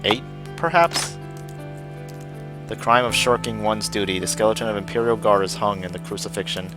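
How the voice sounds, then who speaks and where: A man with a fairly low voice is streaming a game. He reads on-screen text aloud and drops in his own remarks, with music clearly playing behind him. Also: normal speed.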